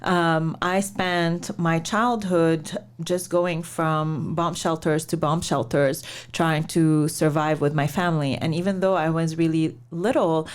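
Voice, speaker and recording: A woman from Lebanon, a founder and investor, telling her life story in an interview in a measured, reflective way with many pauses. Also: low-pitched, steady tone, clear, resonant tone. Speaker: guest, startup founder and investor.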